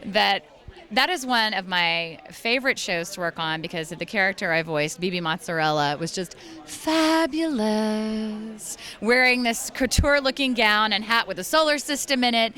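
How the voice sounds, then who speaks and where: A woman voice actress and singer chatting in a convention interview, bubbly and quick to laugh. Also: medium pitch, bright, forceful tone.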